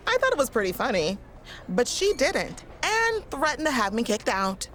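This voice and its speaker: A goofy wrestler who cannot stop giggling at her own puns in a game cutscene. Her voice sits a little high, with faint noise under it. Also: sing-song, moderate pace, fairly bright tone. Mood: playful. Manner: giggly, playful, pun-loving. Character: goofy joke-cracking female wrestler. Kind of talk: video game cutscene dialogue.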